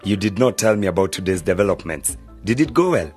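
A stern, advising older brother. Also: male voice, deep voice, expressive, brisk, a little mellow, soft background music. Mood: concerned. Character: older brother giving stern moral advice. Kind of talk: radio drama acting.

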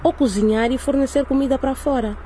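A woman's voice: high-pitched, steady tone, quick pace, warm tone, a little hiss or hum, close to an everyday voice. A woman playing a courteous school principal in a radio drama, speaking politely and persuasively.

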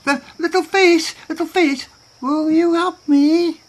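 A woman's voice: very high voice, steady tone, takes it slowly, voice pushed up. A woman voicing a timid, kindly little male bird in a children's folktale, polite and stammering as he tries to be brave. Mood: gentle helpful. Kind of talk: children's animal folktale character.

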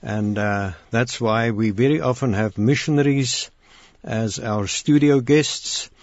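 A man hosting an interview programme, speaking haltingly with frequent pauses. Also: medium pitch. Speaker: radio interview host. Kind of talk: missionary interview programme with anecdotes. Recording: muffled, roomy radio recording.

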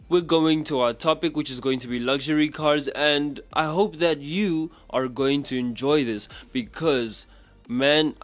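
A man from South Africa hosting a casual radio chat show as a DJ. He talks in a chatty, rambling way and second-guesses himself mid-sentence. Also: medium pitch. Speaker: radio DJ host.

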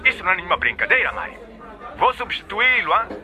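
An actor playing a pompous, indignant boss in a radio drama, scolding and making demands. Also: male voice, sits high, very animated, quick pace, a crowd in the background.

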